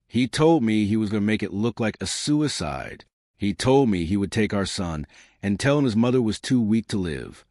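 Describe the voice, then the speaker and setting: A resolute woman laying out evidence against her abuser in a measured, accusing tone, read by a man. The voice sits low but moves expressively. It is recorded dry and close in a storybook reading. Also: lively intonation, darkish tone, no room sound, close to an everyday voice. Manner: measured, accusing, deliberate delivery. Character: steely Nigerian woman exposing her abuser.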